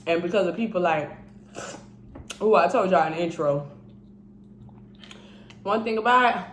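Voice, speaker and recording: A woman's voice: on the low side, moderate pace, echoey room, slight background noise. A woman in her twenties, tipsy, chatting casually while eating on camera, humming and chewing between stories. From Indiana, raised in Miami and Georgia. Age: 20s. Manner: chatty, chews and hums while talking. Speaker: mukbang vlogger. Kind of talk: casual eating vlog chat.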